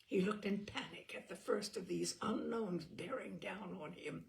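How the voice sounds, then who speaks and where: A woman's voice: medium pitch, measured pace, gravelly. A woman reading a novel aloud as an audiobook narrator, telling the story expressively with the occasional small stumble. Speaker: audiobook reader.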